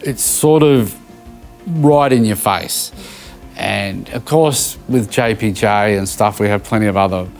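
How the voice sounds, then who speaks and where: A mature Australian man being interviewed as a sound engineer, telling anecdotes informally in halting phrases. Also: on the low side. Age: middle-aged or older. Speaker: interviewed live sound engineer and audio company owner. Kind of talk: casual interview reminiscing.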